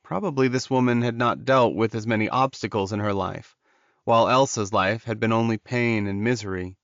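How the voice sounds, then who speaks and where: A man's voice: on the low side, steady tone, moderate pace, no room sound. A man narrating a scripted fantasy story in the dramatic manner of an audiobook. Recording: dull, lo-fi audio.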